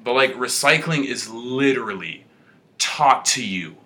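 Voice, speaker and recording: A young man hosting a casual podcast chat, telling rambling stories in a relaxed way. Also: medium pitch, unhurried. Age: young adult.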